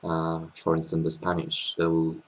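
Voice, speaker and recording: A man in his twenties with a French accent, chatting casually as a guest, hesitant with many pauses and restarts, recorded on a laptop webcam in a small room. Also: extremely low pitch, completely flat delivery. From France. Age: 20s. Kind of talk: casual interview chat.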